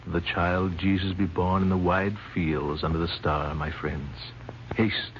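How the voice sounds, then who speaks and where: A grave, devout wise man of the East in a vintage radio play. His low voice declaims archaic English with solemn authority. The recording is old, muffled and noisy. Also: steady tone, measured pace. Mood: solemn, urging.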